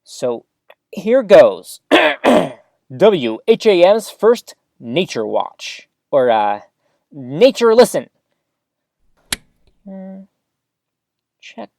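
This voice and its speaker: A woman's voice: on the low side, big pitch swings, drawn-out words, creaky, pauses often, a little mellow, no room sound, heavily acted. A woman playing an eager, awkward small-town radio host in an audio drama, chatty and hesitant.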